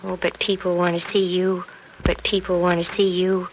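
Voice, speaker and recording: An actress playing an earnest, girlish young expectant mother in an old radio drama, gentle and coaxing, on a vintage recording. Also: lowish voice, completely flat delivery, normal speed, noisy background, close to an everyday voice. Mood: reassuring. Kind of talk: 1940s radio drama acting.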